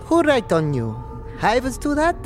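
A man's voice: extremely high pitch, wildly swooping pitch, moderate pace, soft background music, pitched above the performer's own voice, exaggerated voice. A man voicing a curt, fussy female creature with talons, speaking in clipped broken phrases in a fantasy audio drama. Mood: disapproving.

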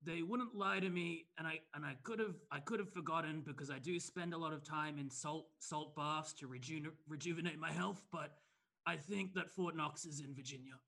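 A man's voice: on the high side, normal speed, vocal fry, sharp tone, full character voice. A man playing a greedy, self-important motivational speaker who rambles earnestly. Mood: smug. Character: smug sleazy male motivational speaker, greedy.

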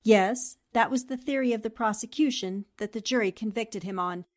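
A woman's voice: fairly high pitch, moderate pace, natural voice. A woman playing a determined, principled newspaperwoman in a 1940s radio drama, speaking in an assertive, articulate and polite way. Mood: resolute, challenging. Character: determined, principled newspaperwoman investigating a murder case.

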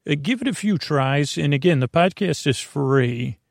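A man with a creaky, gravelly voice hosting a bedtime podcast, rambling in a halting, meandering way and drifting off into tangents. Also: fairly high pitch, animated, dry recording, studio quality. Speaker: podcast host.

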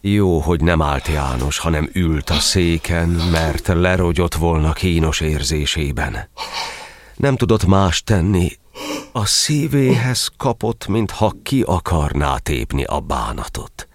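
A man with a deep, creaky voice narrates a dramatic tale in verse. His delivery is solemn and theatrical, with wide swoops of pitch. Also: animated, no echo.

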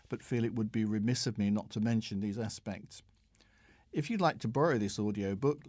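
Measured British man, very dry sound. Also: on the low side, a little mellow, no echo. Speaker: audiobook reviewer. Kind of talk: scripted review read aloud.

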